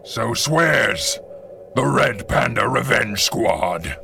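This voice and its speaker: An actor playing a grave, commanding villain mastermind in a 1930s-style radio serial, solemn, theatrical and deliberate. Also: male voice, medium pitch, expressive, creaky, loud music behind the voice, full character voice. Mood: ceremonial. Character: grave, commanding male supervillain mastermind leading a secret cabal.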